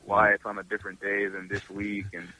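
Young guy, college football player in an interview, really bright punchy voice, kinda low, keeps restarting his words. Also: monotone, rough recording. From Toronto, Canada. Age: early 20s. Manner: halting, repeats words and restarts often. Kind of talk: casual interview answers.

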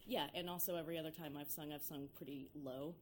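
A woman's voice: deep voice, steady tone, fast talker, background noise. A young woman, a violinist and singer, chatting in an interview with many fillers. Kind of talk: casual interview chat.

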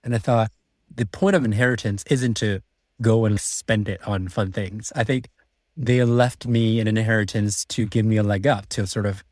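A man's voice: fairly low pitch, fairly even intonation, moderate pace, a little mellow, dry recording, clean studio sound. A man in his thirties, a podcast guest, recounting his path to business school in an earnest way with frequent restarts. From born in China, raised in Prague, moved to Vancouver. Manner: earnest storytelling with many restarts. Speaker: guest, MBA student and finance executive. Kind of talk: podcast interview.

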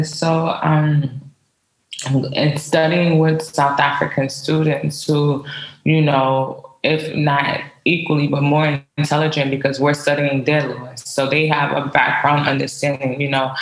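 An American woman speaks as an interview guest in a roomy, echoey recording. Her pitch stays fairly flat while she tells personal stories informally, with emphatic wording and quoted back-and-forth. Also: slow pace. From United States. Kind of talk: conversational personal storytelling.

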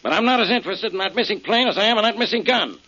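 An actor playing a skeptical, exasperated police inspector, gruff and sarcastic, in 1940s radio drama style. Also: male voice, high voice, animated, racing, sharp tone, full character voice. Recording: dull old radio recording.

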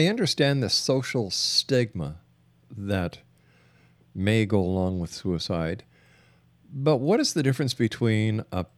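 A man hosting a radio interview, putting questions in a deliberate, halting way and rephrasing as he goes. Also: lowish voice, no echo.

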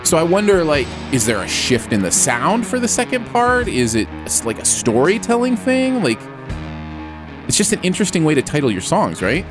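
Co-host, man, lively swooping delivery, jokey, music bed underneath. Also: fairly high pitch, moderate pace. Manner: animated, joking, laughs often. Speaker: podcast co-host. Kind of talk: casual music review chat. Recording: music playing in background.

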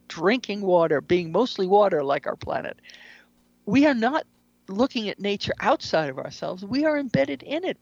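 A woman speaking as an interview guest, explaining spiritual ideas in an earnest, measured way. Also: medium pitch, no room sound, muffled recording. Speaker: interview guest, shamanic practitioner and author.

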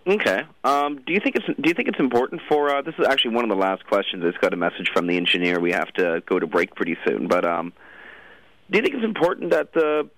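A man hosting a radio show, asking questions in a casual, halting way and often rephrasing as he goes. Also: mid-range voice, fairly bright tone, no room sound, poor audio. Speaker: radio show host interviewing a guest. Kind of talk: casual interview.

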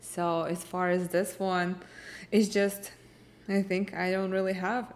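A Russian-accented woman hosting her own podcast, chatting through listener questions in an upbeat, easygoing way. Her voice sits a little low for a woman, and she keeps her sentences simple. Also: level tone, slow. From Ukraine. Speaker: podcast host. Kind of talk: casual solo Q&A podcast.

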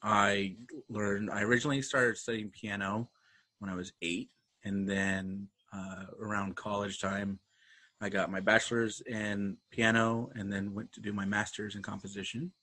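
A man's voice: on the low side, flat delivery, normal speed, sharp tone. A young man co-hosting a casual chat, talking easily with many fillers and laughs. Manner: chatty, laughing, filler-heavy. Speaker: podcast co-host.

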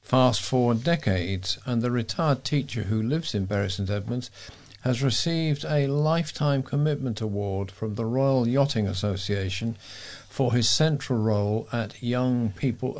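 A man reading scripted local news as a volunteer newsreader, in a measured way. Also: medium pitch, darkish tone.

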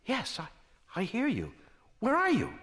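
An actor playing a timid, henpecked husband with a nervous stammer in a comic audio drama. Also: male voice, very high voice, huge pitch swings, measured pace, a bit bright, reverberant, full character voice. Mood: timid, hesitant. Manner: nervous stammer, meek and flustered. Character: timid, henpecked, stammering husband, former talk show host.

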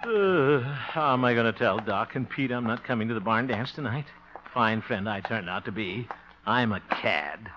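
An actor playing a blustering, softhearted middle-aged bachelor in a 1950s radio comedy, flustered and theatrical, given to muttered asides. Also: male voice, medium pitch, expressive, moderate pace, soft background music, natural voice. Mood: dejected, guilty. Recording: muffled vintage radio broadcast.

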